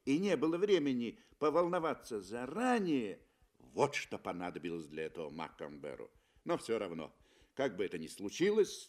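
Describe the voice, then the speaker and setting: A man reading literary narration for a 1960s radio play, with expressive, emphatic phrasing. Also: medium pitch. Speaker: audio drama narrator. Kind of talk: dramatic literary narration, 1960 Soviet radio. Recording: 1960 Soviet radio recording.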